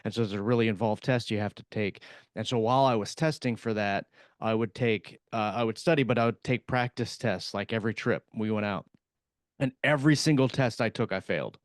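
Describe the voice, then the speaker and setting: A man co-hosting a podcast, telling a story in a halting way with many fillers and restarts. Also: on the low side, dry recording, studio quality. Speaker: podcast co-host. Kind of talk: casual storytelling.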